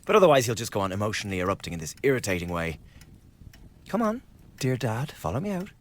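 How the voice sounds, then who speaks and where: An actor playing a sardonic, contemptuous young man who mocks his father with glib, lively remarks in a radio drama. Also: lowish voice, quick pace, very noisy. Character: mocking, cold young man, the returning son.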